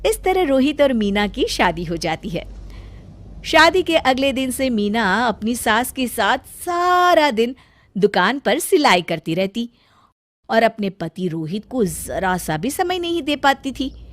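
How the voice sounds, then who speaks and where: A woman narrating a scripted cartoon story in a calm, even way. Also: a little high, slow pace.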